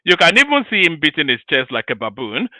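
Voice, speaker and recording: A man's voice: fairly high pitch, wildly swooping pitch, quick pace, sharp tone, slight background noise. A man hosting an opinionated commentary show, talking emphatically with rhetorical questions and repeated phrases.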